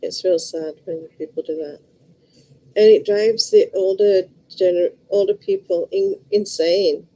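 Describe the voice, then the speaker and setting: A middle-aged woman with a Liverpool accent talks casually to her viewers, rambling from one thought to the next. Her voice is mellow and warm at a middling pitch. She speaks bluntly and chattily. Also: fairly even intonation, unhurried, poor audio. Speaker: vlogger. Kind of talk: casual chat to camera.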